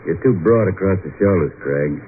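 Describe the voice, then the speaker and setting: On a thin old radio recording, a sardonic gangster with a lowish voice. He is mock-polite and deliberate, and his pitch swings widely as he needles people. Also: expressive. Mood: menacing, sardonic. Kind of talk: old-time radio detective acting. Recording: tinny, narrow-band old radio recording, faint noise.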